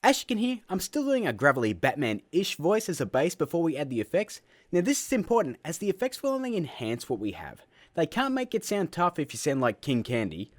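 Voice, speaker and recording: Cheeky man hosting a tutorial, very animated. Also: fairly high pitch, fairly quick. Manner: cheeky, self-mocking, jokey asides. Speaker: host of a video-editing tutorial show. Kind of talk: casual scripted software tutorial narration. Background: music.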